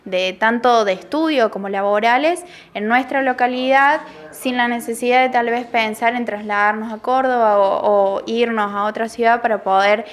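A woman's voice: on the high side, normal speed, hardly any pauses. A young woman, a youth program coordinator, answering a news interview in an earnest way with many fillers and restarts, into a handheld microphone in an office room. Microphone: handheld reporter microphone.

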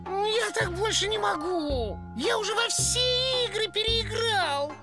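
Very high-pitched boyish cartoon rabbit. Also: female voice, expressive, unhurried, loud music behind the voice, heavily acted. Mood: restless. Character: excitable, playful young male rabbit. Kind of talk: cartoon voice acting.

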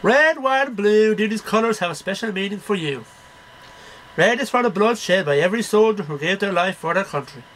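A man's voice: sits high, lively intonation, a little fast, a bit bright, a little hiss or hum, low-quality recording. A middle-aged man reading poems aloud in a declamatory, sing-song way, one line at a time. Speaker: amateur poetry reader. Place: small cluttered bedroom. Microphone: webcam at about arm's length.

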